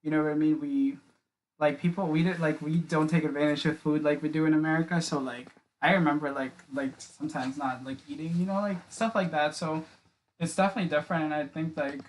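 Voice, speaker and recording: A young man from the Philippines talks in a small home podcast studio, close on a boom mic. His voice sits a little high and stays flat. He wanders through his points with a lot of filler words. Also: brisk, rough recording. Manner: rambling, many filler likes and restarts. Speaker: podcast guest. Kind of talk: casual interview chat. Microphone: studio mic on boom arm, wearing headphones.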